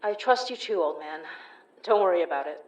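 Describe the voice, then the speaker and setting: A woman playing a calm, wry paranormal investigator who narrates the story, in an audio horror drama. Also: on the low side, fairly quick, close to an everyday voice, rough recording. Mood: gentle.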